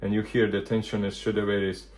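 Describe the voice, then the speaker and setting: A man presenting a casual hands-on product demonstration, thinking aloud in loose, halting phrases. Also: fairly low pitch, monotone, darkish tone. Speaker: vintage hi-fi video presenter.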